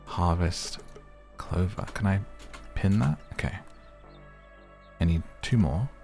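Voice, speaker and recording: A man giving relaxed ASMR gameplay commentary, musing gently and whimsically to the listener. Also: low voice, slow, gravelly, soft background music. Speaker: gaming video host.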